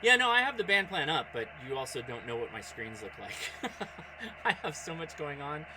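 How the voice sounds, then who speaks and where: A man's voice: a little high, big pitch swings, moderate pace, noisy background. A man chatting casually on a livestream as he operates a radio, thinking aloud in a distracted way. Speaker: amateur radio streamer.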